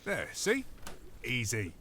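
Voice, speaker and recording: A cheerful sinister mechanic. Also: male voice, medium pitch, huge pitch swings, slow, bright, forceful tone, a little hiss or hum, exaggerated voice. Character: menacing jokey male island mechanic.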